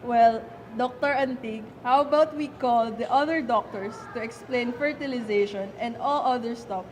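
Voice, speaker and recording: A woman's voice: on the high side, normal speed. A woman presenting scripted lines for an amateur biology puppet show. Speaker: student presenter playing a doctor. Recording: clearly noisy amateur recording.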